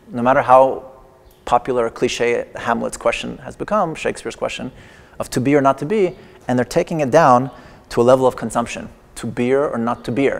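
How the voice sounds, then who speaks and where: Gravelly-voiced man lecturing in an echoing hall. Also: mid-range voice. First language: Persian. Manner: wry, digressive, with playful humor. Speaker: artist giving a lecture performance. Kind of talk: lecture performance. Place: large exhibition hall in a museum. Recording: long echoing hall reverb.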